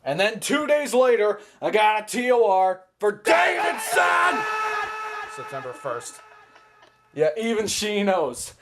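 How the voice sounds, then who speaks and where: A man giving an informal, excitable show-and-tell commentary, often interjecting as he goes. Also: high-pitched, slow pace, shouting. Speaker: video host. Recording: clearly noisy home recording.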